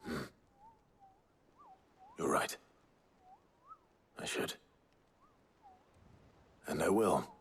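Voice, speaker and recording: A man's voice: deep voice, huge pitch swings, drawn-out words, pauses often, slight background noise, exaggerated voice. An actor playing a brooding, earnest male fantasy hero in a video game, terse, solemn and restrained. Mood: calm, earnest. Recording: music behind the voice.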